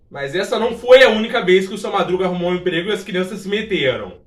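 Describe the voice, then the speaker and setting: A Brazilian man presenting a video essay in casual scripted narration, chatty and exclamatory, talking straight to viewers. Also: high-pitched. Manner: informal, exclamatory, talks directly to viewers. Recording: roomy echo, distant from the mic.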